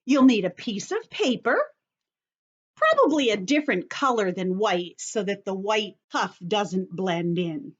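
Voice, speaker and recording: Slow, lilting woman teaching young children. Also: mid-range voice, expressive, dull audio. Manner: simple, instructive, addressing children directly. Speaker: children's story-time teacher showing a craft. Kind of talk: step-by-step craft demonstration for young children.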